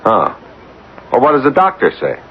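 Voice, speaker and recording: An actor playing a concerned, affable grown son who runs a company, speaking conversationally in a radio drama. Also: male voice, medium pitch, huge pitch swings, normal speed. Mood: concerned, breezy. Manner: conversational, solicitous. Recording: dull, noisy vintage radio recording.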